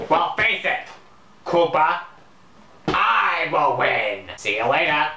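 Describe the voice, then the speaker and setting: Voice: medium pitch, big pitch swings, slow pace, sharp tone, reverberant, distant mic, a little hiss or hum, voice pushed up, full character voice. A narrator voicing a cocky, taunting male rival in a homemade story reading. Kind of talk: amateur fan-fiction story reading.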